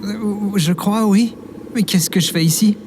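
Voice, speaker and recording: A man's voice: high voice, measured pace. Man acting as naive everyman, hesitant, wondering, good-natured, audio drama, noisy background. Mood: bewildered.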